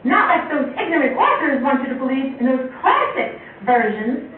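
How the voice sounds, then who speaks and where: A woman's voice: sing-song, moderate pace. A woman voicing a chatty, dramatic young girl in a children's puppet show, with an exaggerated, exclaiming manner and a girlish voice. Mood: exclaiming, flustered. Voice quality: very high-pitched, girlish. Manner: exaggerated, chatty, exclaiming, asks the audience questions. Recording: muffled, echoey room, distant mic, faint noise.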